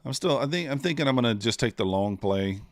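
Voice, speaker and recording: A man's voice: medium pitch, lively intonation, quick pace, no echo. A man streaming live, chatting casually and thinking aloud with many fillers as he trades. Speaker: streamer trading futures live.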